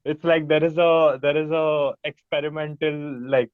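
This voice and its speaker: An Indian-accented man talks casually as a musician guest, rambling with informal enthusiasm. His voice is slightly high for a man. The sound is narrow and phone-like, with little room around it. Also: level tone, normal speed, clean tone, dry recording. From Mumbai, India. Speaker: musician, band member being interviewed. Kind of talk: casual chat. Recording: thin phone-like sound, fairly dry.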